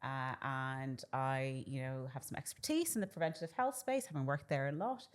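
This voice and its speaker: A woman in her thirties from Ireland, speaking with a faded Irish accent as a doctor guest on a podcast, chatty and quick to laugh. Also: rumbling low. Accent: softened Irish. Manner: laughs often, chatty and relaxed. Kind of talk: casual interview chat.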